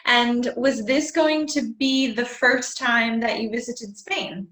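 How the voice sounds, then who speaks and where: A woman's voice: on the high side, fairly even intonation, slow pace, fairly bright tone. A woman hosting a podcast interview, chatty and leading with questions. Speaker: podcast host. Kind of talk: casual interview chat. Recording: echoey room, far from the mic.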